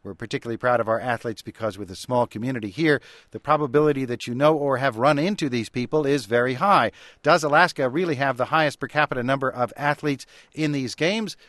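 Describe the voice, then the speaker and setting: A man's voice: mid-range voice, fast talker, fairly bright tone, no room sound. A man hosting a call-in radio show, easygoing and wry as he takes callers. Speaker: radio host.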